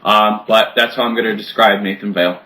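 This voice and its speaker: A man's voice: lowish voice, steady tone, fairly quick, sharp tone, low-quality recording. A man of twenty chatting as a podcast guest, crude and joking, often laughing as he talks. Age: 20s. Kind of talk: casual chat.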